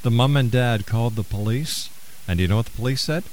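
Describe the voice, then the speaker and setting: A man's voice: medium pitch, quick pace, a little mellow, a little hiss or hum. A man hosting a radio talk show, speaking in a conversational interviewing style. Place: radio studio.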